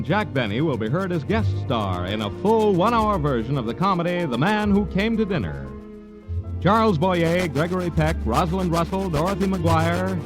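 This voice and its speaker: A man's voice: on the high side, poor audio. A man announcing in polished, formal 1950s radio style, reading credits and promotions as a network announcer.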